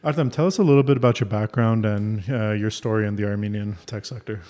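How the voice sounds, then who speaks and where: A man's voice: fairly low pitch, fairly even intonation, fast talker, darkish tone. A man hosting a podcast interview, reading a polite scripted introduction and then asking short questions. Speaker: podcast host, editor.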